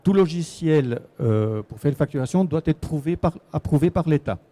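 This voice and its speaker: Male guest expert, Swiss French accent, very dark mellow voice, hesitant explanatory delivery with repeated words. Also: a little high, lively intonation, normal speed. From Switzerland. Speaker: guest expert on relocating to Portugal. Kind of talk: explanatory live conference interview.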